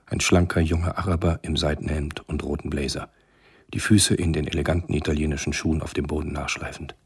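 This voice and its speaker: A man narrating a radio drama from a script in a measured, sombre, husky voice. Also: extremely low pitch, level tone.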